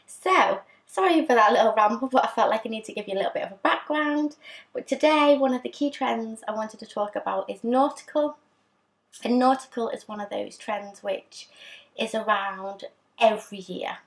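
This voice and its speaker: Husky-voiced woman chatting to camera. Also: a little high, moderate pace. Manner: chatty and candid, frequent ums. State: husky from a sore throat. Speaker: fashion vlogger. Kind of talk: casual chat to camera.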